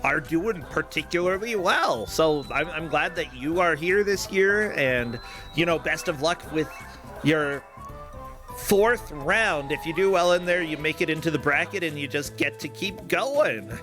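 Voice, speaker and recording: A man's voice: fairly high pitch, expressive, measured pace, sharp tone, faint music behind. A man hosting a game tournament stream, chatting casually with a player and laughing often.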